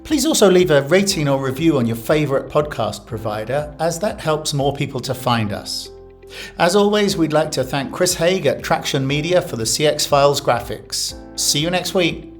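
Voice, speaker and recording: A man's voice: fairly high pitch, animated, moderate pace, faint music behind. A man hosting a podcast, asking interview questions with some hesitation and fillers. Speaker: podcast host.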